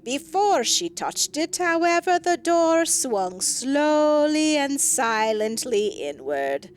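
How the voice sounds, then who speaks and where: A woman narrating a scripted fairy tale with expressive, deliberate emphasis. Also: high voice. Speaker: story narrator.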